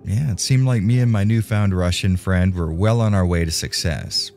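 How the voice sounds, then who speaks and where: A man's voice: low voice, normal speed, a little mellow. A man narrating a scripted video game review in a casual, conversational way, with wry, tongue-in-cheek humour. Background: background music.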